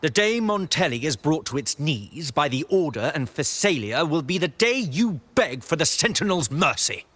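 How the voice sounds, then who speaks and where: A very slow, deliberate male voice for a video game villain, a sanctimonious acolyte. He is pompous and formal, with menace in every measured phrase. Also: mid-range voice, animated. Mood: ominous threat. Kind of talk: scripted video game voice acting.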